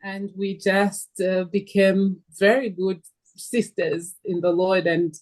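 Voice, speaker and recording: A woman from Zambia introducing herself in a friendly, unhurried way during a casual group talk. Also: mid-range voice, steady tone, darkish tone, rough recording.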